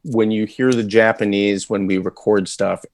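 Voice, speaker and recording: Man, low voice, dry close recording, rambling interview chat. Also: steady tone, normal speed, darkish tone, no echo. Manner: rambling, thoughtful, many fillers and restarts. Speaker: voice actor guest.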